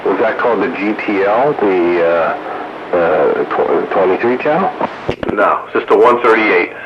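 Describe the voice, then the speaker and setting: Male, low register, CB roundup regular in casual radio chat, dull sound with clear background noise. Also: animated, measured pace. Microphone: CB radio microphone. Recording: noisy, muffled CB radio transmission.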